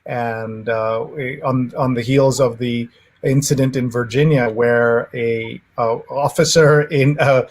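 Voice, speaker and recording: Measured male interview host. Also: mid-range voice, fairly even intonation. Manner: measured, with restarts. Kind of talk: interview talk. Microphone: video call.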